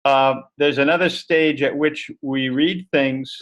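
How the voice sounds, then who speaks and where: A man's voice: medium pitch, fairly even intonation, unhurried, fairly bright tone. Thoughtful man explaining over a call. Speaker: teacher discussant. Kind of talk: online group discussion. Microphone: video call.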